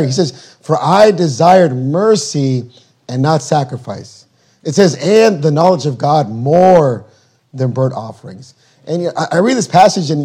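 A hoarse man preaches with a husky, darkened voice that sits a little high for a man. He reads scripture slowly and deliberately and explains it in plain, everyday words. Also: darkish tone. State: losing his voice, hoarse. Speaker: guest preacher. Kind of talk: sermon.

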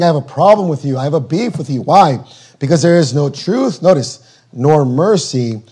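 A hoarse man preaches with a husky, darkened voice that sits a little high for a man. He reads scripture slowly and deliberately and explains it in plain, everyday words. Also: warm tone. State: losing his voice, hoarse. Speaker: guest preacher. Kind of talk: sermon.

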